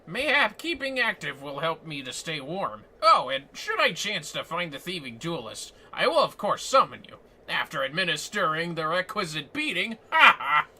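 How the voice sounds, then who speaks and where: A man voicing a pompous, bombastic gentleman inspector from a video game, with grandiose, old-fashioned, theatrical diction. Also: fairly high pitch, big pitch swings, normal speed, hardly any pauses, full character voice. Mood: haughty, boastful. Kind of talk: video game dialogue read aloud.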